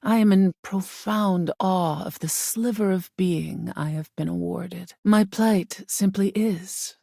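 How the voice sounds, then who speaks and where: A woman voicing an ancient, aloof female spirit of air in a video game, with a husky, breathy voice that often sinks to a whisper and a solemn, formal delivery. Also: on the low side, slow pace, warm tone, dry recording, studio quality, full character voice. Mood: awed, solemn. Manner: languid, imperious, solemn, formal diction. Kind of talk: video game character acting.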